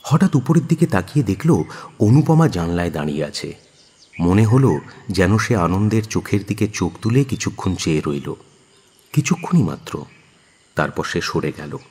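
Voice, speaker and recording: Story narrator, male: very low, husky, animated delivery for dramatised fiction, in a reverberant space. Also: moderate pace. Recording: long hall-like reverb.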